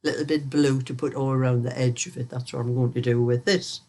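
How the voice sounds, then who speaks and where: An older woman, croaky from a cold, chatting casually while knitting as host of her own vlog, rambling through stories and laughing often. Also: rumbling low, steady tone, fairly quick, dark tone.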